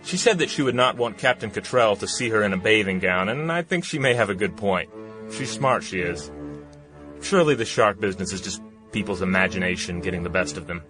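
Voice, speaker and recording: A man's voice: lowish voice, a little fast, fairly bright tone, natural voice, rough recording. An actor playing an easygoing, folksy young small-town man of the 1910s, giving an unworried diary monologue in a radio play. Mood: easygoing, dismissive. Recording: music bed under the voice.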